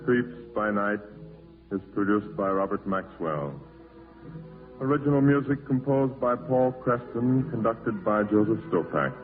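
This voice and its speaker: A man's voice: on the low side, animated, measured pace, soft background music. A man announcing in formal 1940s radio style, reading as if from a script, on a tinny old recording.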